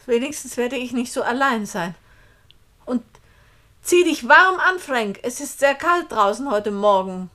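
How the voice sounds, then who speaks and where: A high-voiced young woman in a German radio play. She is anxious and dramatic, pressing her words with urgent, pleading insistence. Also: expressive, unhurried, bright, forceful tone. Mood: pleading, insistent.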